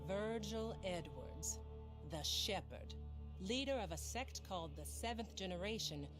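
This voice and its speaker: A woman's voice: mid-range voice, expressive, measured pace, natural voice. An actress playing a tough female bounty hunter in a western game, with an American accent and a blunt, folksy, sardonic drawl. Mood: wry, dramatic. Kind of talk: dramatic game cutscene acting. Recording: music under the voice.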